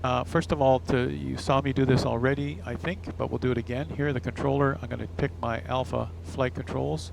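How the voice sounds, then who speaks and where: Gravelly-edged man giving a casual demo. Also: medium pitch, little pitch movement, a little fast, few pauses, low-quality recording. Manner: chatty, explanatory. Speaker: product demonstrator, pilot. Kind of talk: casual hands-on demonstration. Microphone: headset and lapel mic. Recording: faint background noise, headset and lapel mics.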